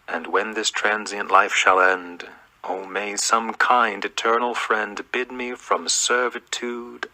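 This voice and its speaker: A man recites poetry in a formal, declamatory style. His voice is on the low side yet intensely bright, and his pace is very slow. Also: no echo, poor audio. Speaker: poetry reader.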